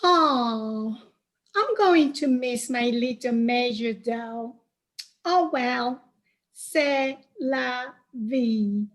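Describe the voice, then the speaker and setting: A woman's voice: fairly high pitch, drawn-out words. A woman playing a sultry, disdainful femme fatale in a campy noir radio play, languid and theatrical. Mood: breezy, dismissive.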